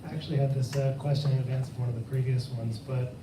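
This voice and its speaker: A man's voice: medium pitch, level tone, fast talker. A man casually putting a question as an audience member at a book event. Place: bookstore event room. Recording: echoey room, distant mic, noisy.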